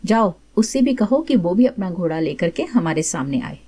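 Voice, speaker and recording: A woman's voice: fairly low pitch, lively intonation, normal speed, warm tone, breathy edge. A woman voicing a commanding king in a folk tale, questioning and giving orders. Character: commanding male king of an old Indian court.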